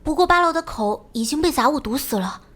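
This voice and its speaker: 礼貌女职员，声音很高. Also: female voice, high-pitched, animated, a little fast, fairly bright tone. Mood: helpful. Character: polite, helpful female office worker assisting police. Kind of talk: animated crime drama acting.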